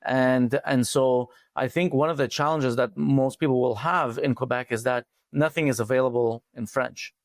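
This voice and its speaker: A man from Montreal, talking thoughtfully as a podcast guest, with many pauses and "uh". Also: medium pitch, fairly even intonation, dry recording, studio quality. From Montreal, Canada. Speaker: financial coach, podcast guest. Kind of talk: casual interview talk.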